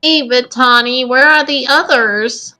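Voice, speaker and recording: Woman as an earnest young lioness, bright forceful voice, plain-spoken, cartoon fan fiction. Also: sits high, a little slow, pitched above the performer's own voice.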